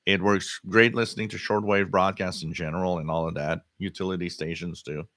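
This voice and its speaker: Bright-voiced man hosting a casual livestream. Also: sits low, fairly even intonation, no echo, clean studio sound. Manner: halting, repeats words and phrases. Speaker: livestream host. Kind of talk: casual live radio-hobby chat, reading and answering viewer comments.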